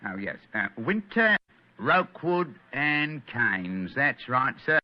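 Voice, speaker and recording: An actor playing a fawning, chatty London plumber with a Cockney accent and rambling, colloquial speech in an old-time radio mystery. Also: male voice, medium pitch, sing-song, slow. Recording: dull, lo-fi old radio recording.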